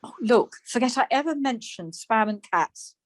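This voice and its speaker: A woman's voice: a little high, animated, moderate pace, no room sound. A woman acting a scatty, easily exasperated wife in a domestic radio comedy. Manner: flustered, snippy.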